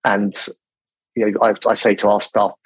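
Quiet, considered exec guest, forties; low, slightly husky voice, dry studio sound. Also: male voice, moderate pace, no echo, rough recording. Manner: quiet, calm, many restarts and repeated words. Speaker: recruitment business leader, podcast guest. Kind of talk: measured business interview talk.

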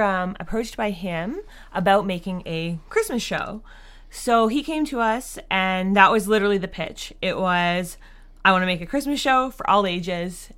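A woman's voice: mid-range voice, fairly bright tone, clean tone. A woman answering as an interview guest in a conversational way, with hesitant, filler-heavy phrasing. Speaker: interview guest, audio drama creator.

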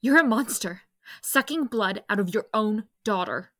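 A woman's voice: medium pitch, lively intonation, a little slow, a bit bright, no room sound, studio quality. An actress playing an outraged, defiant young female captive in an audio drama. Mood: defiant, angry.

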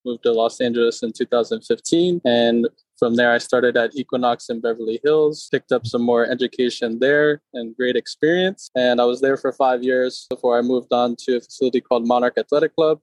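A man in his thirties from Connecticut, a personal trainer talking casually in an interview, relaxed with many fillers. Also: mid-range voice, fairly quick, few pauses, no room sound, rough recording. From Connecticut, USA. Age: 30s.

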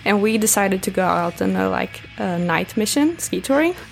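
Woman, Swedish accent, twenties, creaky voice, relaxed, chatty. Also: medium pitch, normal speed, faint music behind. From northern Sweden. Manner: relaxed, chatty, searching for English words. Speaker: guest. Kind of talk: casual interview talk.

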